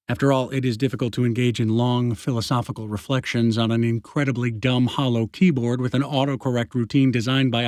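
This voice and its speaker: A man's voice: mid-range voice, darkish tone, no room sound, clean studio sound. A man narrating a first-person science fiction audiobook in a wry, sarcastic, conversational way.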